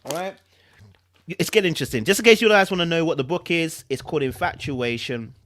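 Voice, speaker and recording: A man hosting a dramatic book reading, mixing narration with animated, chatty commentary and repeating phrases for emphasis. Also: a little high, quick pace. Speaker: host reading a book aloud.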